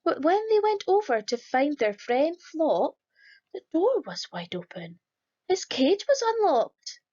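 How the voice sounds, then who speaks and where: A woman reading a rhyming children's picture book aloud in a lively, sing-song storytelling manner. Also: fairly high pitch, lo-fi sound. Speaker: storybook reader.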